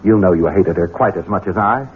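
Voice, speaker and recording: An actor playing a cold, scheming middle-aged master of a country estate, sardonic and domineering, in 1970s radio drama style. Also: male voice, sits low, fairly quick, slightly rough. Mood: smug, sardonic. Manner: sardonic, domineering, softly menacing. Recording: dull, noisy 1970s radio broadcast.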